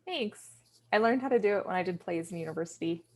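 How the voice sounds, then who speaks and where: A woman's voice: on the low side, expressive, fast. Woman playing hesitant, self-doubting newcomer performer. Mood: shy, wry. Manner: tentative, reserved, understated. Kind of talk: naturalistic table-read acting.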